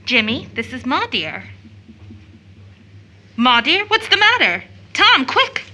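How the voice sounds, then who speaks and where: A woman's voice: high voice, very animated, takes it slowly, bright, forceful tone, exaggerated voice. A woman acting a sweet, spirited, devoted young daughter in an audio drama, girlish and affectionate. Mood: bright, affectionate.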